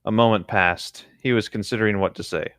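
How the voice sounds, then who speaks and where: A man reading a story aloud for a comedy podcast as co-host, in an amused, expressive way. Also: on the low side, brisk, no echo, studio quality.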